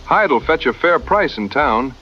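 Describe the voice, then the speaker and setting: Guy doing easygoing frontier hunter, folksy drawl, middling pitch, kinda dull audio, radio play. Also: lively intonation, moderate pace, faint music behind, lightly acted. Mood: friendly. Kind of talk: radio drama acting.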